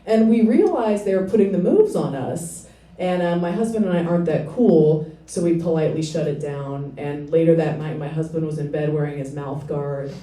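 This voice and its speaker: Deep-voiced woman doing stand-up in a club. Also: normal speed. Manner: self-deprecating, hesitant fillers, dry deadpan delivery. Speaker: stand-up comedian. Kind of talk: stand-up comedy set. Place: small comedy club stage with laughing audience. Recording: echoey club, audience laughter, from a distance.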